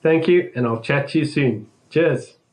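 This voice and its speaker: A man's voice: a little high, measured pace, a little mellow. Man, 40s, from South Africa, session drummer, friendly, upbeat, video greeting, home drum studio. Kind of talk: friendly recorded video message.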